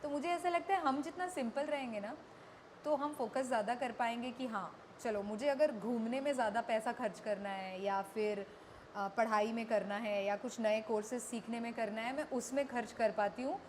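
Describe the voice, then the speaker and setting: A woman's voice: fairly high pitch, normal speed, darkish tone, slight background noise. A woman with an Indian accent, a radio jockey and activist, speaking reflectively as an interview guest and mixing Hindi with English phrases. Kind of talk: candid conversational interview.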